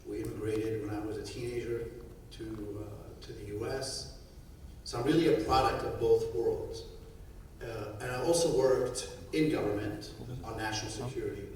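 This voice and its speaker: A man's voice: on the low side, normal speed, a little mellow, a little hiss or hum. A middle-aged man from Syria speaking without notes on a conference panel, thoughtful and given to restarting his sentences. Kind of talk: unscripted panel talk. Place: conference hall. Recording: echoey hall, from far off.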